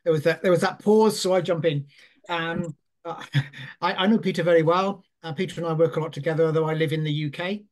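A man whose first language is Welsh introduces himself on a panel, halting, with many fillers. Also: a little high, fairly even intonation. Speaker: board chair, panel guest. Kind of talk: casual introduction.

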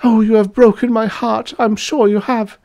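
A gushing, lovesick young maiden played by a male reader pleads in a very high voice. She is melodramatic and overwrought, swooping up and down like a storybook heroine. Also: big pitch swings, normal speed, dark tone. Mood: tearful reproach.

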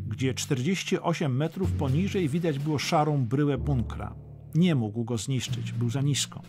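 A man narrating a dramatic history audiobook from a script. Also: fairly high pitch.

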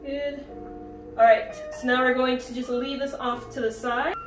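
A woman's voice: high voice, steady tone, a little slow. A young adult woman giving a casual, informal cooking demonstration as a home cook in her kitchen. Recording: roomy echo, distant mic, background music. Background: music.

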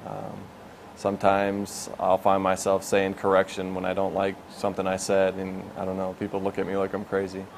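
A man's voice: sits low, monotone, normal speed, gravelly. A man in his twenties explaining his work as a military air traffic controller in an informal, matter-of-fact interview. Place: indoors in a glass-walled control tower.

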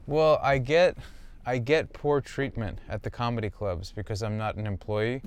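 A man's voice: mid-range voice, normal speed, clear, resonant tone. A man, a comedian, explaining himself conversationally in a hesitant, defensive way inside a small van. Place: inside a small wood-lined camper van.

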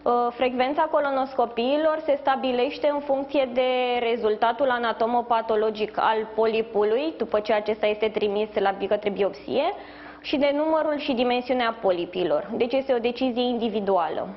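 A woman in her thirties, a doctor giving measured, formal explanations as a guest in a live news interview. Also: on the high side. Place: indoors in a hospital, live video link. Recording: slightly dull sound, faint background noise.

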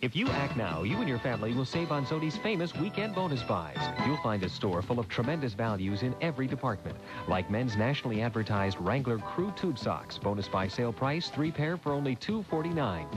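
A man reads a scripted retail commercial for 1980s radio. His voice is medium in pitch with animated intonation. Music runs clearly behind him. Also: fairly quick, few pauses. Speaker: commercial announcer.

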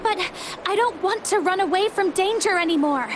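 Anxious, high-voiced young child. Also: female voice, normal speed, soft background music. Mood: anxious, determined. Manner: earnest, pleading. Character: anxious, determined young child worried for a brother. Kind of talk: scripted video game voice acting. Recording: clear background noise.

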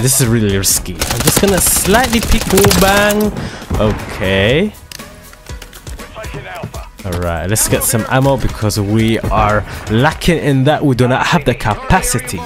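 A man giving excitable, slangy commentary over his own shooter gameplay, his voice a little hoarse from a dry throat. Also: medium pitch, takes it slowly, long pauses. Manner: excitable, slangy trash-talking banter. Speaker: gaming video creator. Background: loud background music and gunfire.

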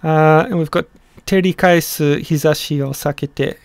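A middle-aged man explaining his song translation in a casual, halting way, with many pauses and restarts. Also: a little high, steady tone, clean tone, dry recording. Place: small room indoors.

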